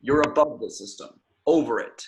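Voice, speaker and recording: A man reading the part of a stern, commanding secret agency chief at an amateur script table read, measured and authoritative. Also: medium pitch, close to an everyday voice. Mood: solemn, commanding.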